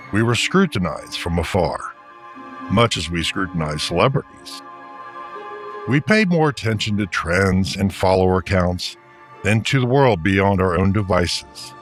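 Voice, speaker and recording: A man narrating a radio drama as a solemn, ominous storyteller, with a grave, measured, portentous delivery. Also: sits low, animated, a bit bright. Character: solemn, ominous male storyteller introducing an alien invasion. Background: music.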